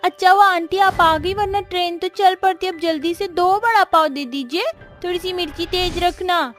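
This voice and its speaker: A woman's voice: very high-pitched, normal speed, faint music behind. A woman voicing an insistent young girl passenger calling for attention in a moral story. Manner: shouting for attention.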